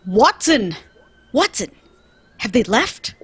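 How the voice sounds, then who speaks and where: A brisk, commanding Victorian detective, played by a woman in old-time radio style. The voice sits fairly high and moves around eagerly in pitch. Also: big pitch swings, fairly bright tone, husky, exaggerated voice.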